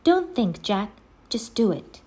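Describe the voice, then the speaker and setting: A woman voicing an eager, trusting young girl in a children's storybook, with an excitable, emphatic manner. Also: medium pitch, slow, pitched above the performer's own voice. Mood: excited, urging. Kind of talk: children's storybook read-aloud.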